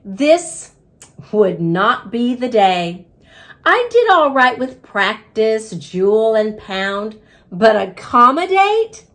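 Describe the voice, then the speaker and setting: A woman plays an earnest little male hamster telling his storybook tale. The voice is fairly high and swings expressively, with unhurried, exclamatory delivery full of self-importance. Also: very animated, fairly bright tone, heavily acted. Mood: earnest, indignant.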